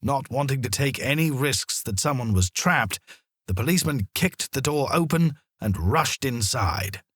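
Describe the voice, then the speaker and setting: Brief: male children's narrator, expressive and animated, slightly rough-edged voice, close dry sound. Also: mid-range voice, a little slow, dry recording. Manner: dramatic, expressive storytelling.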